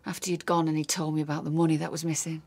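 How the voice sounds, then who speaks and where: A woman's voice: sits low, little pitch movement, very fast, darkish tone. A woman acting a grieving, trusting, lonely wife in a naturalistic radio drama. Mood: confiding, needy.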